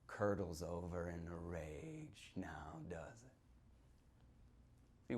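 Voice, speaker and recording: A man performs a grim first-person horror tale as a sinister small-town local. His mid-pitched voice moves widely up and down, dropping to hushed whispers and rising to shouts. The delivery is theatrical and speaks straight to the listeners. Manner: dramatic, swinging from hushed whispers to shouted outbursts. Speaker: author performing a reading from a novel.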